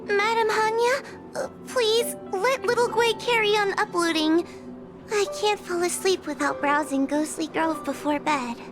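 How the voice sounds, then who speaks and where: A woman's voice: very high voice, a little slow. A woman voicing an eager, bubbly young girl ghost hunter in an anime-style video game. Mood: pleading. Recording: background music under the voice.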